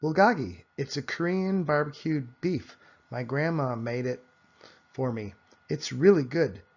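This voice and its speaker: A man's voice: on the high side, unhurried, lo-fi sound. A man voicing a friendly, open new schoolboy in a storybook, speaking in an earnest, plain way. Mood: friendly, inviting.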